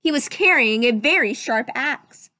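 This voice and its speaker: A woman reading a children's story aloud as narrator, with expressive, dramatic storytelling. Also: high-pitched, unhurried, no echo. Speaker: storybook reader.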